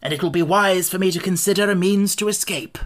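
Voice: mid-range voice, a little fast, pitched above the performer's own voice, lightly acted. A storybook reader voicing a genial, self-important scarecrow king who speaks in a pompous, declamatory way. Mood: measured, resolute.

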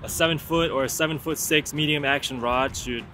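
A young man vlogging while fishing on an outdoor sea pier, chatty and excited, talking to viewers into a camera mic. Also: on the high side, brisk, faint music behind, low-quality recording. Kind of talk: casual vlog narration while fishing. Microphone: camera-mounted shotgun mic.

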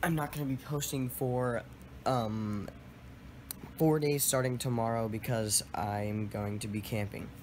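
A teenage boy filming his own vlog on a handheld phone, talking casually, joking and shouting now and then. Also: medium pitch, unhurried. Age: teens. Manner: excitable, jokey, shouts and laughs a lot. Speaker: vlogger. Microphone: handheld phone camera. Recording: outdoor noise behind a phone camera.

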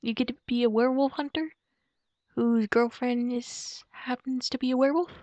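Casting: woman playing a cutesy, excitable young girlfriend for roleplay audio; higher voice, soft delivery, slightly muffled lo-fi sound. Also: normal speed, dry recording, close to an everyday voice. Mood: excited, brainstorming. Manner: giggly, cutesy, soft and hesitant, musing aloud. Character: playful, excitable werewolf girlfriend shopping for Halloween costumes. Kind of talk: girlfriend roleplay ASMR, improvised-sounding monologue to a partner. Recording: dull lo-fi close dry recording.

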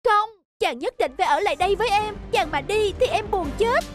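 A woman's voice: extremely high pitch, animated, a little fast, music in the background. A woman voicing a clingy, petulant young wife who pleads and sulks in a cartoon fairy tale. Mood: pleading, hurt. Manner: pleading, sulky.

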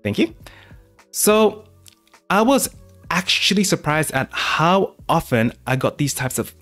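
A man's voice: on the high side, very animated, slow, a bit bright, soft background music. A man hosting a live question-and-answer stream, talking casually with many fillers and restarts. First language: English. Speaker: art channel host.

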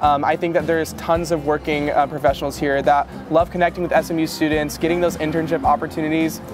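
A man's voice: fairly high pitch, little pitch movement, quick pace. A young man in his early twenties from Texas, talking in an enthusiastic, friendly way as a student co-host of a casual vlog. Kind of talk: upbeat promotional vlog, casual chat. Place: outdoors on streets and cafe patios. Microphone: clip-on lapel mic. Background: background music.

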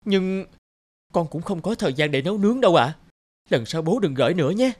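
A brisk, impatient young career woman. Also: on the low side, animated, a little mellow, no room sound. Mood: annoyed, brisk. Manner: brisk, curt. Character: busy, impatient young woman fashion designer, dutiful daughter. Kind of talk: cartoon dialogue acting.

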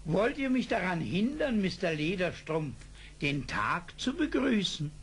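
An actor playing a pious, eccentric psalm-singing teacher in an old German radio play, with an unctuous, preachy, drawn-out delivery. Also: male voice, sits high, sing-song, fairly bright tone, slightly rough, full character voice. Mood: rapturous. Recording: hissy, noisy old radio play record.

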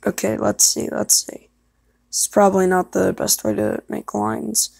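A woman's voice: medium pitch, flat delivery, measured pace, vocal fry, a little mellow, no echo. A young woman chatting casually and self-consciously, hesitant and apologetic as she records herself. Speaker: amateur voice actor recording an audition.

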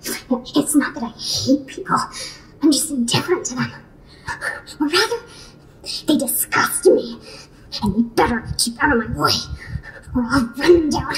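A woman playing a gruff, snappish, contemptuous man who is turning into a rhinoceros, in a radio play. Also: high-pitched, lively intonation, unhurried, long pauses, fairly bright tone. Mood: misanthropic, bitter. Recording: roomy with faint noise and clicks.

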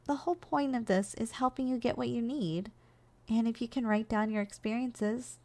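A woman performs a kindly giantess secretary in an ASMR role-play. Her voice sits a little high, and she is soft, coaxing and nurturing, with a teasing affection for the tiny person in her care. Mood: caring, supportive. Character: gentle, doting giantess secretary caring for her shrunken boss.

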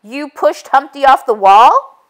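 An earnest little egg detective, voiced by a woman in a children's storybook. The wisecracking hero questions suspects in a high, lively voice, laying out each point deliberately. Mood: accusing.

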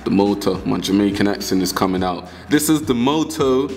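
A man's voice: fairly low pitch, animated, normal speed, darkish tone, soft background music. A young man hosting his tech channel, talking viewers through an unboxing in a casual, chatty way. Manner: chatty, addresses viewers as guys. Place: indoor room at a wooden table.

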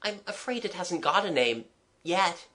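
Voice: medium pitch, big pitch swings, moderate pace, fairly bright tone, pitched above the performer's own voice. A reader voicing an eager, imaginative young boy in a storybook, chattering earnestly and confidingly. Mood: timid.

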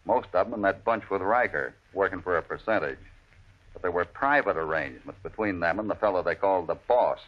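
An actor playing a calm, plain-spoken, heroic cowboy in an old-time Western radio drama, with an American accent and measured, assured delivery. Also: male voice, low-pitched. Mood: explaining. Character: calm, steady, heroic cowboy lawman type. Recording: muffled vintage radio recording.

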